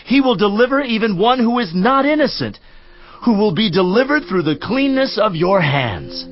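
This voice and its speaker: A stern lecturing friend. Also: male voice, sits high, sing-song, moderate pace, over music. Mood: admonishing. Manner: admonishing, preacherly, rising and falling emphasis. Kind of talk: dramatized scripture reading. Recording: slightly dull dry studio recording.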